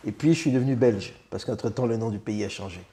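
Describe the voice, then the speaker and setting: A Belgian man in his thirties, a comedian guest in a casual interview, speaking with many pauses and fillers and a self-mocking humor. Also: on the low side, warm tone, slight background noise. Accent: Belgian French.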